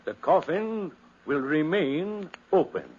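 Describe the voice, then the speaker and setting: An actor playing a cautious, formal family doctor with a clinical, deliberate manner in a 1950s radio drama. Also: male voice, on the high side, lively intonation. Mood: firm. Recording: muffled 1950s radio recording, faint noise.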